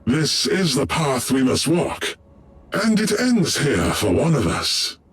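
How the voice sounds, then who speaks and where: Slow, gravelly, solemn alien seer. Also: male voice, medium pitch, wildly swooping pitch, background noise, exaggerated voice. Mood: solemn, fateful. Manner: slow, portentous. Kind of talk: dramatic video game cutscene acting.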